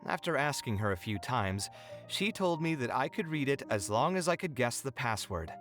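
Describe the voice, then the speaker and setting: Casting: earnest young architect, expressive and a little wry, thoughtful storyteller, anime game, music underneath. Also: male voice, medium pitch, moderate pace. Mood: curious, wistful. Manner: articulate, thoughtful storytelling delivery. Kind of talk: anime-style video game voice acting.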